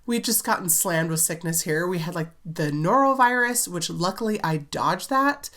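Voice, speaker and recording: A woman's voice: on the low side, expressive, normal speed, a bit bright. A woman hosting a casual storytelling vlog, chatty and giggly, full of "like" fillers, slightly congested as she recovers from a cold. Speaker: children's book author and channel host.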